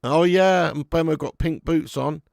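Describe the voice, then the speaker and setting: A man hosting a live fan watch-along of a football match at home, chatting to viewers with sweary banter and bursting into loud cheering at chances. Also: a little high, moderate pace, gravelly, no echo, clean studio sound. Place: at home in front of a camera.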